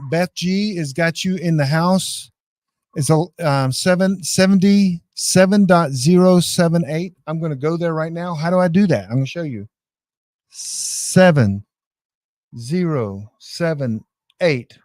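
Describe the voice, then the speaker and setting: Folksy man with a slightly high voice. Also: unhurried, a little mellow, no room sound. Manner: folksy, informal, addresses viewers as "people". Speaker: online class presenter demonstrating radio gear. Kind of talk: casual live hands-on tutorial. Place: indoors.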